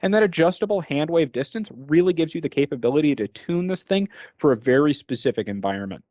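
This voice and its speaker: A man's voice: fairly high pitch, expressive, fast, darkish tone, no echo. A man giving an explanatory product training talk as a presenter. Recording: dull, band-limited recording.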